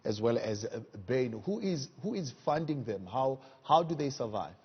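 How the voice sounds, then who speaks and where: News guy doing an interview, husky mid-range voice, slightly dull lo-fi sound. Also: medium pitch, big pitch swings, moderate pace. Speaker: news anchor interviewing a guest.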